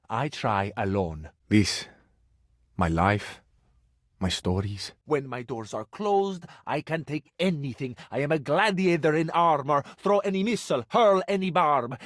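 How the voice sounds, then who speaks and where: A man's voice: a little high, dry recording, clean studio sound. A man voicing a passionate, suave Italian cabinet for a video game, with florid, theatrical delivery and an Italian accent. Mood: dramatic, wounded. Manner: florid, dramatic, lingering on words. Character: passionate, melodramatic, romantic Italian cabinet, male, suave dreamer.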